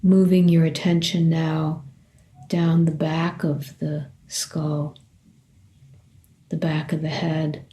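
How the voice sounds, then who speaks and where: Very slow, low-pitched woman guiding meditation. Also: level tone. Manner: gentle, unhurried, with long pauses between short phrases. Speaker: meditation teacher. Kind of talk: guided body scan meditation.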